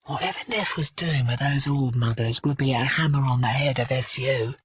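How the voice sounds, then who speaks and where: A woman's voice: rumbling low, normal speed, breathy edge, no echo, clean studio sound, far from the mic. A woman voicing a tough, sardonic, weary streetwise mercenary in a video game, with clipped, slangy delivery. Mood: grave, resolute. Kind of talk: scripted video game character dialogue.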